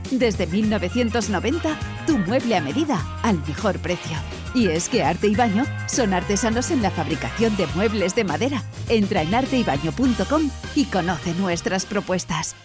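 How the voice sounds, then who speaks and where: A woman voicing scripted radio advertisements in a smooth promotional read. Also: mid-range voice, lively intonation, moderate pace. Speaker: ad voice-over. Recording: ad read over music.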